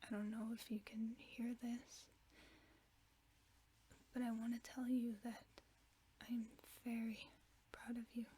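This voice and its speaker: A woman voicing a gentle, caring werewolf friend, reading a bedtime story in a soft, often whispered voice for a sleep-aid roleplay. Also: on the high side, flat delivery, slight background noise, low-quality recording. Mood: tender. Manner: slow soothing bedtime reading.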